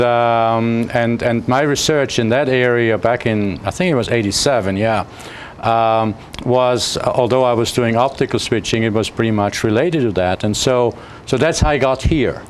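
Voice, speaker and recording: A man in his fifties with an Austrian accent, a physics professor answering interview questions haltingly, with many fillers and restarts. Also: mid-range voice, a little hiss or hum.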